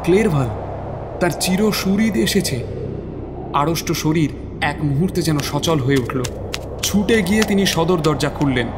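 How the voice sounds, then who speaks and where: A man's voice: fairly high pitch, animated, a little slow, pauses often, noisy background. A man narrating a scripted story as a dramatic narrator.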